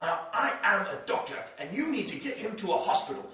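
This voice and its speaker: A man playing a pompous, overwrought doctor in an old-time radio comedy sketch, bombastic and melodramatic, often shouting. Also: sits high, big pitch swings, fairly quick, exaggerated voice. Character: pompous, overwrought self-important doctor husband. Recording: echoey hall, distant mic.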